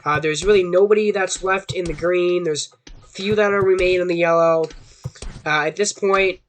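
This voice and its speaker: A young man with a hoarse voice from a sore throat, rambling through casual gaming commentary with a self-doubting tone. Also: high voice, steady tone, normal speed, a little hiss or hum. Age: young adult. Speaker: gaming YouTuber.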